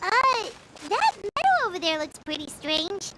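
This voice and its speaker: A woman voicing a small, excitable fairy companion in a video game, with a squeaky childlike voice and exclamatory manner. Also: unhurried, background noise, heavily acted. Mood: curious. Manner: exclamatory, talks in third person. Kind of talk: animated video game dialogue. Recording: music behind the voice.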